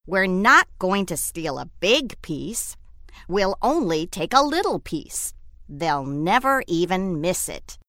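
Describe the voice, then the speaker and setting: A woman's voice: fairly low pitch, big pitch swings, slow, a bit bright, no echo, studio quality, exaggerated voice. A woman doing a sly trickster coyote. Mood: soothing. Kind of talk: storytelling.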